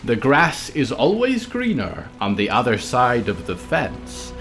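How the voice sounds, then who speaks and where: A man narrates a literary fable at a middling pitch. His delivery is slow, dramatic and expressive, rising and falling freely over soft music. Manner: deliberate, dramatic. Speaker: storyteller.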